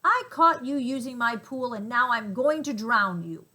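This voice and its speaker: A vain, gloomy old rhinoceros, loud and menacing one moment and sorry for himself the next, as a woman puts him on while reading a storybook. He sounds mournful and sulky. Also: fairly high pitch, animated, measured pace, voice pushed up.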